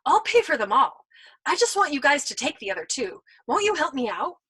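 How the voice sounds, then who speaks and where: A woman's voice: high voice, big pitch swings, brisk, fairly bright tone, pitched above the performer's own voice. A woman voicing an anxious, earnest young male airman pleading with his friends.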